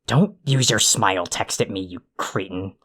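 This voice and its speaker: An actor in an audio drama playing an irritable, foul-mouthed, self-important alien troll who rants and insults in a gravelly voice. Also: sits low, moderate pace, music in the background, close to an everyday voice. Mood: pompous, grumpy. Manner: ranting, insulting, pompously wordy.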